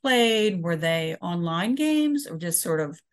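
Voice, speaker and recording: A woman's voice: on the low side, big pitch swings, slow pace. Middle-aged woman asking interview questions. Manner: friendly, laughs often, trails off. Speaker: podcast co-host.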